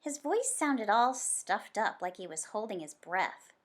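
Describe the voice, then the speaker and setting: A woman's voice: mid-range voice, big pitch swings, measured pace. A woman reading a children's book aloud expressively as a storyteller.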